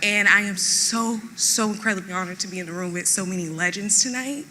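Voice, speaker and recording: A woman gives an emphatic, gushing acceptance speech. Her voice is very bright and forceful, carrying long reverb in a theatre hall. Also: medium pitch, a little fast. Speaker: award winner. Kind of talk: emotional unscripted acceptance speech. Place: theatre stage at awards ceremony.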